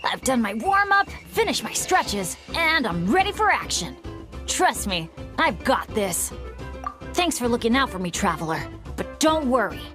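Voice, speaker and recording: A woman's voice: a little high, very animated, unhurried. A woman voicing an earnest, eager teenage boy adventurer in a video game, with a boyish manner. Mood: upbeat, determined. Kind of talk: animated video game voice acting. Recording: faint background music.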